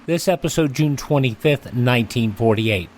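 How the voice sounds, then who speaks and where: A man's voice: medium pitch, moderate pace, a little mellow. A man hosting a classic radio show, chatty and upbeat as he introduces episodes.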